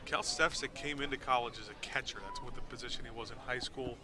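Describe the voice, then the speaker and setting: A man's voice: medium pitch, fast talker. A man giving conversational live baseball analysis as color commentator, outdoors at a ballpark. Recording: crowd noise at outdoor ballpark. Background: ballpark crowd.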